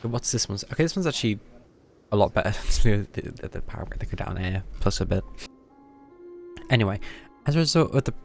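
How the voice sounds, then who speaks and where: A British man with a low, gravelly voice commentates casually on his livestreamed game. He thinks aloud in a dry, muttering way while game music plays behind him. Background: video game music.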